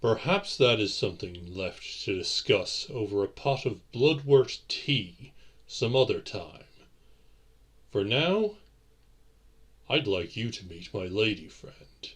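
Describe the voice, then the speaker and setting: Man acting deep, slow, courtly gentleman vampire. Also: big pitch swings, slightly rough, full character voice. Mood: courtly, playful. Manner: formal, theatrical, deliberate phrasing. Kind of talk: audio roleplay acting.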